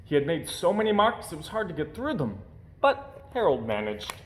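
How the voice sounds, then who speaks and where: A man reading a children's picture book aloud as an expressive storyteller, putting theatrical emphasis on key words. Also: fairly high pitch, moderate pace.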